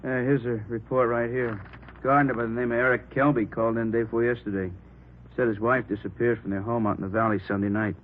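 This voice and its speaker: An actor playing an earnest, suspicious police detective in a 1940s radio drama, talking in informal, clipped phrases. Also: male voice, mid-range voice, flat delivery, fast, narrow, tinny audio, slight background noise, natural voice. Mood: earnest report. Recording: thin, band-limited old radio recording.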